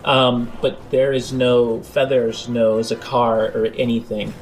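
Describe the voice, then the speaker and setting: A man's voice: medium pitch, monotone, slow pace, low-quality recording. A man improvising the narration of a tabletop role-playing game as game master. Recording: clear background noise.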